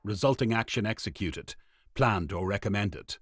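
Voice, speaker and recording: Officious manager played by a man, lowish voice, very dry close sound, clipped bureaucratic report for radio drama. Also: lively intonation, moderate pace, no room sound. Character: officious, dismissive male factory manager dictating a report. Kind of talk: radio play, formal report reading.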